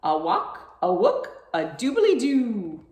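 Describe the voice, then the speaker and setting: Showy storybook wizard female reader, bumbling, proud, theatrical, raised pitch, roomy. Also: huge pitch swings, slow pace, creaky, a little mellow, full character voice. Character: showy, friendly, bumbling wizard eager to volunteer.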